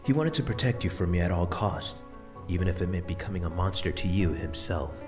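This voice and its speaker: A man voicing a deep-voiced loving grandfather spirit. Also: lively intonation, brisk. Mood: tender. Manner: soft and tender, explaining patiently. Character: gentle elderly grandfather's spirit, loving and regretful. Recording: music bed under voice.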